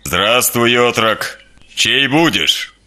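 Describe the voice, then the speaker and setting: A man playing a brash young bogatyr in an animated cartoon, declamatory, boastful and quick to challenge. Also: medium pitch, heavy background noise, lightly acted. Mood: bossy, hearty.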